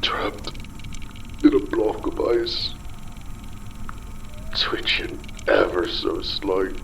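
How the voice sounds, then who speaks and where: A man playing a desperate, guilt-ridden father losing his mind, in a dramatic horror audio monologue with a gravelly, breathy voice that often drops toward a whisper. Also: deep voice, big pitch swings, fairly bright tone, slight background noise, exaggerated voice. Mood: grim, horrified. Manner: slow, brooding, halting delivery in short phrases. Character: desperate, guilt-ridden father turning mad in a ruined city.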